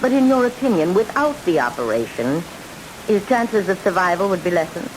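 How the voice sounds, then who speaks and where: An actress playing an embittered, imperious old woman in a radio drama, speaking coldly and deliberately. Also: on the low side, very animated. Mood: cold, bitter. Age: older. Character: embittered, vengeful old matriarch refusing consent. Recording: hissy old radio broadcast recording.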